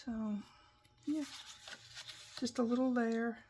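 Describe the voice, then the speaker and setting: A slightly croaky woman walks through a craft project step by step, chatty and unhurried. Her voice sits a bit high, and she takes her time between phrases. A faint hiss runs under the recording. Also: steady tone, warm tone. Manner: chatty, instructive, talks while working with hands. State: croaky, clearing her throat. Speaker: papercraft tutorial host. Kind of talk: casual step-by-step craft demonstration.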